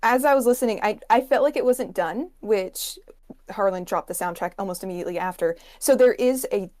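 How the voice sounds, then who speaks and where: A woman's voice: mid-range voice, fairly quick, darkish tone, dry recording. A woman guesting as a music expert, explaining things in a casual, enthusiastic way.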